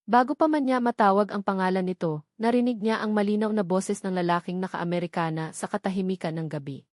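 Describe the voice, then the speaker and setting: A woman with a Filipino accent narrating a scripted love story in a measured, expressive, dramatic way. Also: mid-range voice, no echo, studio quality.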